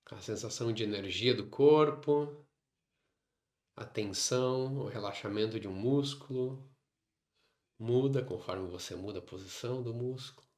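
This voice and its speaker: A man with a Brazilian Portuguese accent leading a guided meditation, instructing in short phrases separated by long pauses. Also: mid-range voice, a little mellow. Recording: roomy, echoing sound.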